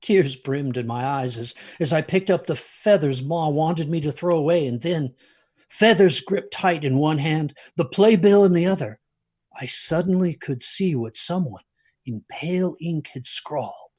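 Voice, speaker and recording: An actor playing a haunted older grandfather, husky-voiced, telling a ghost story of his youth with halting intensity. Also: on the high side, expressive, darkish tone. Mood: haunted, tense. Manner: halting, intense, repeats himself. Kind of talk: dramatic storytelling.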